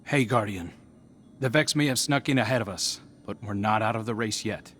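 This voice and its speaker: An actor playing a roguish, friendly scout in a video game, casual, teasing and reassuring. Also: male voice, on the low side, moderate pace, fairly bright tone, a little hiss or hum, natural voice. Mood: encouraging. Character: roguish, wry, friendly male scout briefing an ally.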